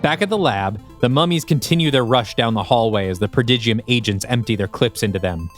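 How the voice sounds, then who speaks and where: Mid-pitched male audio drama narrator. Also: a little fast, soft background music.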